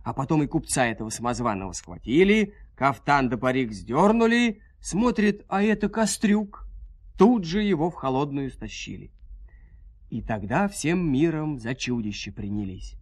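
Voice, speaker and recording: A man narrating a scripted fairy tale in an expressive, dramatic, folksy storytelling manner. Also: mid-range voice, moderate pace, no echo.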